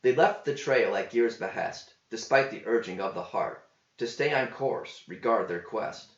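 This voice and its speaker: A man reading a verse story aloud as a scripted narration, with measured delivery. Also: on the low side, animated. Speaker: author reading own verse epic. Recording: roomy, slightly dull home recording.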